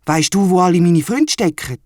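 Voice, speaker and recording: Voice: medium pitch, normal speed, dry recording, clean studio sound, pitched above the performer's own voice, lightly acted. A storyteller voicing an eager, naive, forgetful young male platypus with a Swiss German accent in a children's audio story. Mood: puzzled, dejected. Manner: excitable, naive, questioning.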